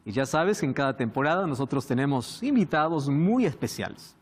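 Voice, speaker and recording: Lively-voiced male Bible study host. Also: mid-range voice, a little fast, breathy edge. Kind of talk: studio presentation.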